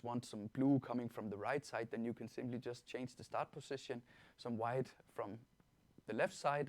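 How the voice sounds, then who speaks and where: A man with a Danish accent giving an informal software tutorial as a webinar presenter, explaining things step by step in a reassuring way. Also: mid-range voice, dry recording. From Denmark. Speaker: webinar presenter, product trainer.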